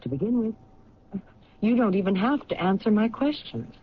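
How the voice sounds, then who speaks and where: An actor playing a patient, probing homicide detective with an American accent and measured, hesitant questioning, in 1970s radio drama style. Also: male voice, high-pitched. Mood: earnest, probing. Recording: dull, noisy old radio recording.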